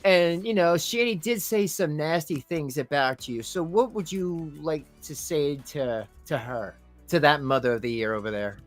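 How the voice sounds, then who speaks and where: A man's voice: on the high side, moderate pace, soft background music. A man hosting a casual live reaction stream, mocking and laughing often.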